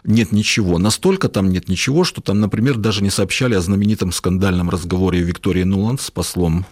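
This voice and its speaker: A man's voice: deep voice, quick pace, a little mellow, no room sound, clean studio sound. A man from Russia, a guest explaining at length in an interview, hesitant with many fillers and restarts. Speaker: guest analyst.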